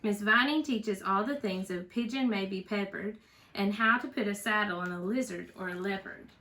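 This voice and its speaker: A woman reading a children's storybook aloud in an expressive, teacherly way, with chatty asides and questions to a young listener. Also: medium pitch, moderate pace. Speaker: parent reading a storybook aloud for a school read-aloud.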